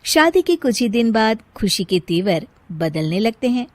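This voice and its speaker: A woman narrating a scripted cartoon story as an expressive storyteller. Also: on the high side, measured pace, no room sound.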